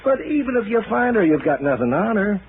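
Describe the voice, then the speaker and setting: A man's voice: high voice, sing-song, brisk, narrow, tinny audio. An American man playing a weary, easygoing police detective who grumbles colloquially in a 1960s radio drama. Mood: weary, grumbling. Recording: thin, faintly noisy old radio recording.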